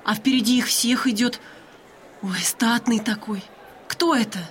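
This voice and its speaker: A naive, excitable young lady. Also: fairly high pitch, a little slow, a little hiss or hum. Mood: wide-eyed excitement. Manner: gasping, exclamatory. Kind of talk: audio drama acting.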